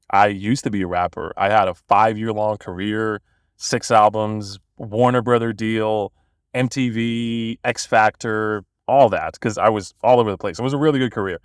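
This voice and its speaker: Guest, young Bosnian man, deeper voice, keen storyteller, dry close mic. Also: dry recording. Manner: enthusiastic, storytelling. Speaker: guest, copywriter. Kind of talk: podcast interview.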